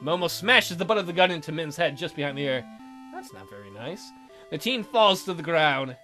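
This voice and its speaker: A woman's voice: deep voice, big pitch swings, a little fast, pushed and bright, soft background music. A woman hosting a let's play, narrating a visual novel and chatting with joking, rambling asides.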